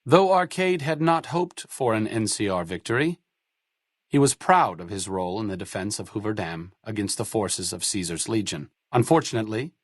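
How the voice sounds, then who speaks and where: An actor narrating a video game's epilogue in a solemn, measured storytelling manner. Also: male voice, fairly low pitch, animated, dry recording, clean studio sound. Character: grave male narrator of a game's endings. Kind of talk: scripted game epilogue narration.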